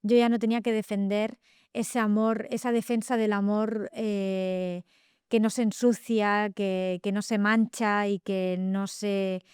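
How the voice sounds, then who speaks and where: A middle-aged woman with a Catalan accent, a novelist talking reflectively in an interview, often pausing with fillers and tag questions. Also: mid-range voice, flat delivery, a little mellow, smooth, no echo, clean studio sound. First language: Spanish. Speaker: guest novelist. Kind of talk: thoughtful interview talk.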